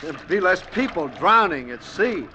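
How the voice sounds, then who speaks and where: A man's voice: high voice, a little slow, dull audio. An actor playing a folksy, wry shipwrecked oarsman in an old-time radio drama. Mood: wry, weary. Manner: animated, homespun, wry. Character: folksy, wry shipwrecked man rowing a lifeboat. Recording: noisy old radio recording, water behind.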